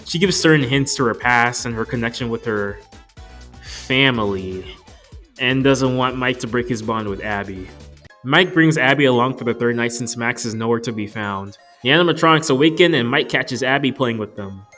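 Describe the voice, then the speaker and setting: A young adult man delivers a casual, scripted video review with music playing behind him. His manner is joking and freely sweary, and his voice sits at an ordinary male pitch. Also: moderate pace, bright, forceful tone. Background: background music.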